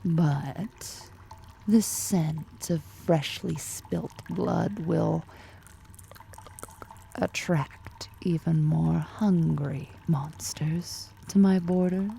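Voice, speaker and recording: A woman's voice: extremely slow, dark tone, a little hiss or hum, exaggerated voice. A woman playing a powerful, predatory drider ruler in an ASMR roleplay, half-whispering with teasing, flirtatious menace. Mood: grave, silky. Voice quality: breathy, half-whispered, creaky low voice.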